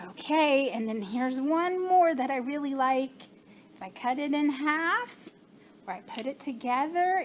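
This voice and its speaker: Casting: high-voiced female teacher, unhurried, playful gasps, faint room noise. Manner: slow, sing-song, encouraging, with gasps of surprise. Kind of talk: children's lesson.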